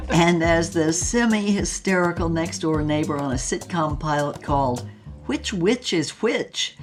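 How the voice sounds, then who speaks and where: An actress playing a jaded fifties B-movie star, telling her story with dry, dramatic flair in an audio drama. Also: deep voice. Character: jaded fifties B-movie screen goddess, wry, world-weary memoirist. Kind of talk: audio drama first-person narration.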